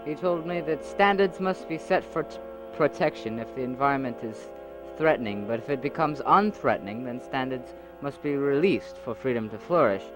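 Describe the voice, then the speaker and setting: A man doing an earnest young male leader, middle pitch, music under him. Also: expressive, lightly acted, rough recording. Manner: earnest speech with uhs, measured. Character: the journeyman, young male leader back from a journey. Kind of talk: amateur audio drama.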